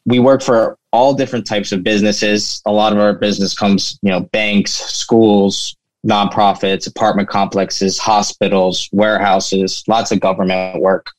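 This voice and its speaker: A young man speaks as an interview guest, telling his story in a loose, casual way. His voice sits a little low and is peppered with "you know." The recording is close and dry. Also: flat delivery, measured pace, few pauses, no echo. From United States, born in Turkey. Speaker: interview guest, flooring business owner.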